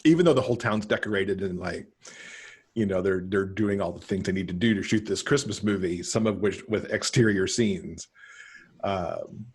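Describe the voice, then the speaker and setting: A middle-aged man chatting casually as a podcast guest on a video call, pausing to think as he goes. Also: low voice, husky. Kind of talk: casual conversational interview. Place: home room, on a video call.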